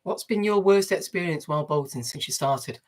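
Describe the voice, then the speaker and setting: A man's voice: on the high side, animated, moderate pace, darkish tone. A man with a Mancunian accent chatting easygoingly on a livestream from a narrowboat. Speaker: vlogger. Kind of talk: casual livestream chat. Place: inside a narrowboat.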